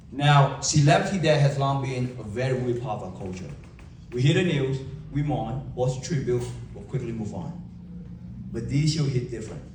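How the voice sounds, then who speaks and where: A man's voice: medium pitch, a little mellow, slight background noise. Vietnamese-accented man speaking on stage. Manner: emphatic, dramatic pauses, rhetorical questions. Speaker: motivational speaker. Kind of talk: keynote speech to a live audience. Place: large event hall. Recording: echoey hall from far off.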